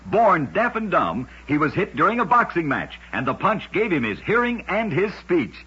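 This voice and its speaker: A man narrating short scripted stories as host of a vintage radio program, with a husky, gritty voice and a dramatic storyteller's delivery, on an old radio recording. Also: sits high, big pitch swings, moderate pace, sharp tone, dull audio.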